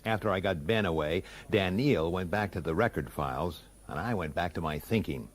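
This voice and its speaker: An actor playing a gruff, irritable, middle-aged police detective in a radio drama, blunt in his delivery. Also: deep voice, moderate pace. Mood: brooding narration. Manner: blunt, hesitant, then explosive. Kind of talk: radio drama acting with first-person narration.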